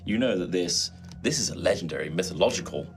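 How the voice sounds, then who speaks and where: Deep-voiced man running a tabletop game. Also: expressive, fast talker. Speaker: game master. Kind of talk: narrating a tabletop roleplaying game. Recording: background music under the voice.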